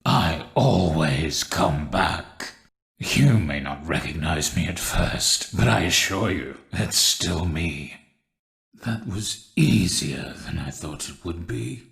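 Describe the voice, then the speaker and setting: A man voicing a menacing undead killer from a horror game, theatrical and deliberate, with a gravelly voice that turns breathy at times. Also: low voice, animated, fairly bright tone, exaggerated voice. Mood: sinister calm. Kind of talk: horror game villain voice lines.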